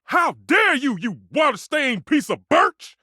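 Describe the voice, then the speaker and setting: A man's voice: very high-pitched, sing-song, measured pace, bright, forceful tone, no echo, clean studio sound, heavily acted. A man voicing a passionate, temperamental head chef in a video game, theatrical and emphatic in delivery. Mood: indignant. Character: temperamental, passionate male chef, a living kitchen stove. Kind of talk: video game character acting.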